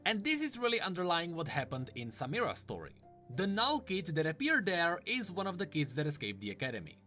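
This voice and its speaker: A man's voice: high-pitched, wildly swooping pitch, a little fast, sharp tone, faint music behind. A man giving scripted explanatory commentary in the style of a video narrator. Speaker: lore explainer.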